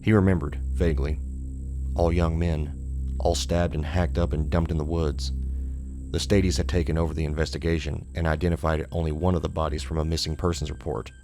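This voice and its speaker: Deep, gravelly male horror narrator. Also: little pitch movement, a little fast, a little mellow, soft background music. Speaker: audiobook narrator. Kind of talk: scripted horror story narration.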